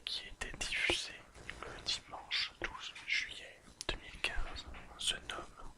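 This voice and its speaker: An ASMR creator whispering softly and soothingly while reading a summary aloud in an unhurried way.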